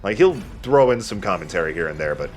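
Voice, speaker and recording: A man's voice: low-pitched, sing-song, fast, darkish tone. A man giving casual, joking, slangy commentary as a let's play co-host. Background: video game ambience.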